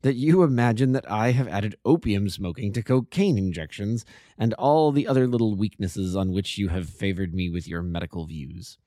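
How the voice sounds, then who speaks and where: A man reading a story aloud, voicing a calm, masterful detective who speaks in a precise, definite way. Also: lowish voice, normal speed, no room sound, studio quality, natural voice. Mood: assured.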